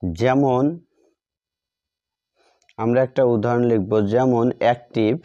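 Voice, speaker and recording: Male teacher, unhurried and mellow, mid-pitched, repeating short fragments as if dictating to a class. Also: dull audio. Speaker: English grammar teacher. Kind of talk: step-by-step lesson, dictating while writing.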